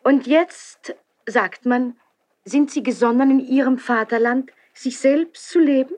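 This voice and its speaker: A gracious, composed queen in a classical radio drama. Her fairly high voice is stately and formal, with courtly phrasing. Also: unhurried, a little mellow. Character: gracious, self-possessed queen receiving a nobleman. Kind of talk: classical German verse radio drama acting.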